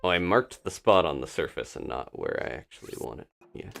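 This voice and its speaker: A man's voice: deep voice, expressive, fairly quick, gravelly, noisy background. A man giving casual live video game commentary as a streamer, musing to himself and thinking aloud as he plays.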